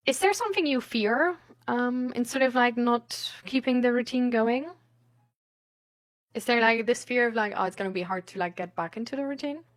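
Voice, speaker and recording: High-voiced woman chatting casually on stream. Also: moderate pace. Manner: rambling, giggly, hesitant phrasing with frequent "like". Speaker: live streamer. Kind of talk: casual chat with viewers, reading out their messages.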